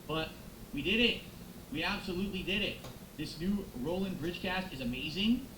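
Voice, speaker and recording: A man's voice: high-pitched, animated, moderate pace. A man live-streaming on a close microphone, chatting casually with viewers, thanking them and musing aloud as he goes. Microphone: close XLR microphone. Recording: echoey room with background noise.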